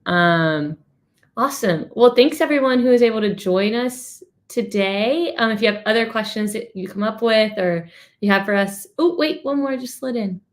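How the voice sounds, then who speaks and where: A woman's voice: fairly high pitch, moderate pace. A woman hosting a webinar, casually moderating and putting questions to a guest in an informal, encouraging manner.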